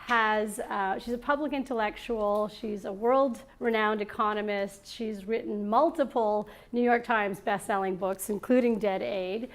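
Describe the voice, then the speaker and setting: A woman hosting a live salon before an audience, introducing her guest and opening the interview in a chatty, halting way with many fillers and restarts. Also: mid-range voice, fairly even intonation. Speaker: salon host and moderator. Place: event room with an audience.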